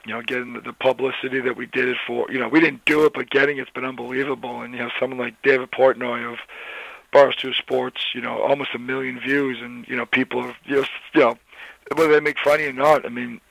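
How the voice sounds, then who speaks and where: A hoarse man, a college football head coach, answering interview questions in a rambling way with many restarts and fillers. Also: mid-range voice, level tone, quick pace, fairly bright tone, no room sound, rough recording.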